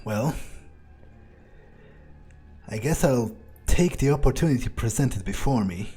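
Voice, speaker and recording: A man roleplaying a blunt rookie vampire in a video game, with a husky voice and a measured, hesitant, conversational manner. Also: medium pitch, lively intonation, warm tone, faint music behind. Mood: cautious, curious. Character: male rookie vampire turncoat, blunt, self-justifying.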